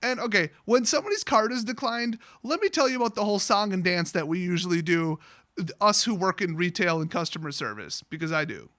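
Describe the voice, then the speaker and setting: Bright, high-voiced man ranting casually. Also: animated, brisk, no room sound, studio quality. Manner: sweary, sarcastic, blunt. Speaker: online vlogger telling a story, card seller. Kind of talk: casual rambling storytime rant.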